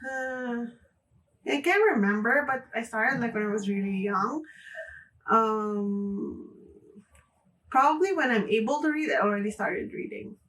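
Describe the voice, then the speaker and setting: A woman's voice: a little high, unhurried, long pauses, fairly bright tone, far from the mic, low-quality recording. A young woman from the Philippines with a Filipino accent, chatting casually to camera and often saying "like". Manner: chatty, frequent "like" fillers, light laughs. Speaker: booktuber.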